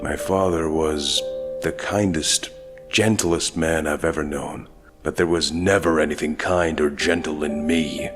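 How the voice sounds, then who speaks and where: Masked vigilante, troubled ex-soldier, male actor: low, terse, brooding comic-dub read over music. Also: fairly even intonation, a little slow. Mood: bitter, brooding. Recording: music bed behind the voice.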